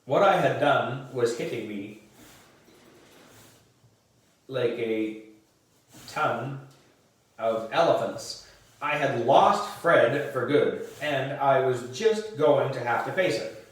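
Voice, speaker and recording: A man reading a children's book aloud as narration, in a deliberate way with the odd stumble and reread. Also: mid-range voice. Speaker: volunteer reader for a book club. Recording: distant mic in echoey room.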